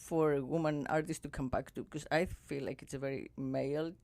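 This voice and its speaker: A woman podcast host in casual conversation, reflective and musing, drifting softer as she thinks aloud. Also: low-pitched, fairly even intonation, a little fast, vocal fry, dry recording.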